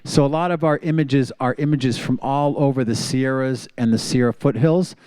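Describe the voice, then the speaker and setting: A man's voice: medium pitch, normal speed, dark tone, clean tone, dry recording. A man hosting a TV talk show, chatting enthusiastically with guests, with some fillers and restarts. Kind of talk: casual interview chat.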